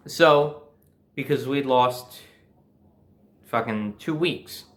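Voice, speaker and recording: Young American man telling a story casually. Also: medium pitch. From New Jersey, United States. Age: young adult. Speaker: storyteller, host.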